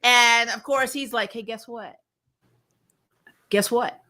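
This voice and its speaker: A woman giving a casual, opinionated review in an animated, sweary way, acting out bits of mock dialogue. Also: on the high side, slow. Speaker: host of a TV series review show.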